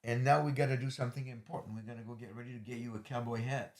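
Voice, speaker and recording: A middle-aged man hosts a ministry podcast and speaks at a mid-range pitch. He rambles and fills his sentences with "uh" and "um" while making earnest appeals to his listeners. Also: fairly even intonation, racing, crowd noise. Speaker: host, ministry leader. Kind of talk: podcast interview and sign-off.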